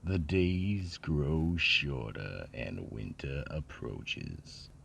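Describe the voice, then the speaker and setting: A man narrating an eerie mystery radio drama in a theatrical storytelling style, with dramatic pauses. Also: a bass voice, gravelly, fairly bright tone, a little hiss or hum.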